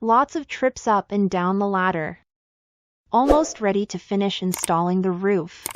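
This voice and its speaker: A woman's voice: mid-range voice, lively intonation, measured pace, muffled recording. A woman reading a scripted children's fairy tale as the storyteller.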